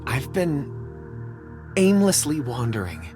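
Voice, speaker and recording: Anxious, hesitant, expressive wanderer. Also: male voice, mid-range voice, slow pace. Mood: troubled, musing. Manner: hesitant, apologetic, polite. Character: anxious, absent-minded, polite wandering man. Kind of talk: English video game dub acting. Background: game music.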